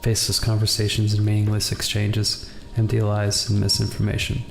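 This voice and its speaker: A man reading visual novel narration aloud for a gaming video in a subdued, deliberate way, with the odd casual aside. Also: lowish voice, monotone, vocal fry. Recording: roomy echo, faint background noise.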